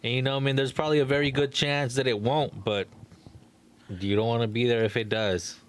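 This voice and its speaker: A man's voice: medium pitch, steady tone, a little fast. A young man co-hosting a podcast in casual, slangy conversation. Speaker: podcast co-host.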